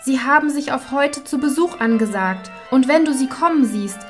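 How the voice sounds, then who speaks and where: A woman's voice: high voice, lively intonation, normal speed, soft background music, voice pushed up. A woman voicing a frightened young wife in a fairy tale, anxious, pleading and dramatic. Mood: anxious calling.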